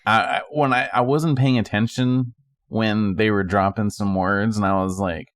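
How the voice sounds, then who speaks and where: Male co-host, lowish voice, informal and a little hesitant, close dry recording. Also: steady tone, normal speed, no room sound. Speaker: podcast co-host. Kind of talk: casual chat.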